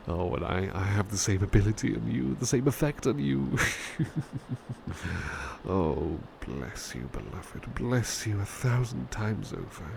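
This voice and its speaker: A man acts out a doting butler murmuring comfort at bedtime in an ASMR roleplay. His low voice is hushed and halting, sometimes whispered, and it rises and dips freely in pitch. Clear ambient noise sits behind him. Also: very animated, full character voice. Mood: relieved, affectionate. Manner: hushed, sleepy, halting, often slipping into whispers. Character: devoted, tender male butler comforting a beloved at bedtime.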